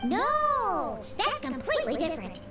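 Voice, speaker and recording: An actress voicing a small, helpful, chirpy girl companion in a video game, with a squeaky childlike voice and a patient, animated way of explaining. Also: a little slow, reverberant, recorded from a distance, loud music behind the voice, exaggerated voice. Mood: eager, helpful. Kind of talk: scripted video game voice acting.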